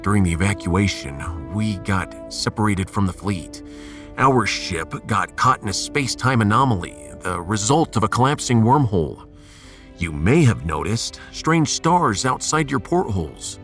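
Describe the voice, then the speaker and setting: A man playing a resolute starship captain in a sci-fi audio drama, commanding, earnest and measured. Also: on the low side, expressive, fairly bright tone, soft background music. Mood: solemn, rallying.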